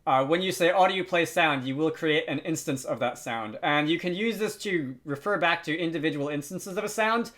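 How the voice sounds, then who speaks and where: A man narrating an unscripted software tutorial, thinking aloud with frequent hesitant pauses. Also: on the high side. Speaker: tutorial host.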